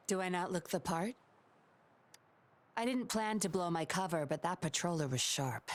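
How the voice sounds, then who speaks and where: A woman playing a composed, sly undercover patroller in a video game, coolly confident and measured. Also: on the low side, lightly acted. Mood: serious, cool. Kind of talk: scripted video game voice acting. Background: game music.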